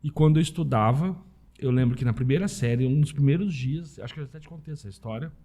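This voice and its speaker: A Brazilian man with a husky voice talking casually as a podcast guest, informal and anecdotal, often checking in with tag questions. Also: medium pitch, quick pace, mellow. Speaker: podcast guest, Italian citizenship specialist. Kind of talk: casual conversational interview.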